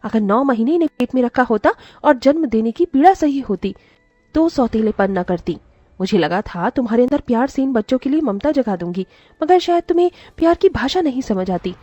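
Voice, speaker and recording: A loving, protective aunt in a moral story, acted by a woman, speaks in a high and very expressive voice. She is earnest and emphatic, with motherly feeling. The sound is dry and a little dull. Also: brisk, dry recording. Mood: righteous, firm. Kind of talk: dramatised moral story voice acting.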